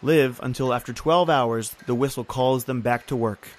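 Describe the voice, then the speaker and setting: A man's voice: mid-range voice, moderate pace, natural voice. Man acting a grim, taunting island overseer. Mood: bitter, taunting. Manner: folksy, bitter, uses "aye" and "ain't". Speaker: actor in audio horror drama. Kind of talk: old-time radio horror drama acting.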